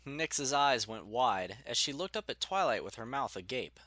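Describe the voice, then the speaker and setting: A man's voice: mid-range voice, animated, moderate pace, sharp tone, dry recording. A man reading scripted story narration as the narrator of an audiobook.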